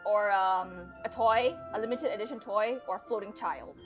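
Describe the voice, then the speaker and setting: Young woman, game streamer, casual joking commentary, somewhat dull lo-fi mic, soft music underneath. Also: medium pitch, moderate pace. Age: young adult.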